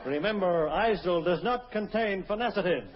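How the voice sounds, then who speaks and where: A man's voice: high-pitched, moderate pace. A man performing as a zany, mock-formal announcer on an old radio comedy show. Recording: dull, noisy 1950s radio recording.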